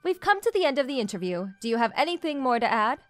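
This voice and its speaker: A woman's voice: high-pitched, very animated, quick pace, fairly bright tone, no room sound, soft background music. A young woman playing a confident, pushy female CEO who teases her junior in a manga dub romance. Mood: confident, teasing. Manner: straightforward, teasing, self-assured.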